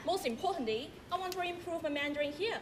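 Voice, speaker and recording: A woman's voice: extremely high pitch, lively intonation, quick pace, slight background noise. A young woman acting in a school stage skit, speaking her lines eagerly. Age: young adult. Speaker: student actor playing Vicky and narrator. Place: large indoor stage.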